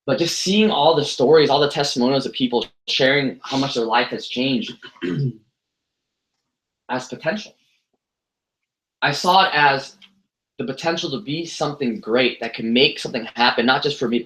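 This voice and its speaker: A man's voice: medium pitch, moderate pace, pauses often, a bit bright. A man, giving a motivational talk full of self-deprecating jokes. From United States. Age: 20s. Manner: joking, self-deprecating storytelling. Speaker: motivational speaker. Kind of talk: motivational speech to an audience. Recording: echoey room sound.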